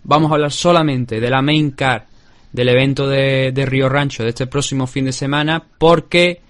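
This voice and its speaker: A male podcast host with a Spanish accent, talking in a loose, chatty way. He rambles, restarts his sentences and keeps circling back to his point. His voice sits at an ordinary, average pitch. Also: fairly even intonation, normal speed. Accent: Spanish from Spain. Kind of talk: casual chat. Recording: remote call.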